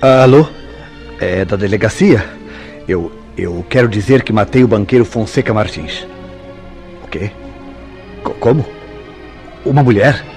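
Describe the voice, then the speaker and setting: An actor with a Brazilian accent playing a guilt-ridden killer and bitter lover in a melodramatic radio drama, with theatrical, formal diction. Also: male voice, fairly low pitch, a little slow, long pauses, darkish tone, soft background music. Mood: agitated. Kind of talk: old-fashioned melodramatic radio drama acting.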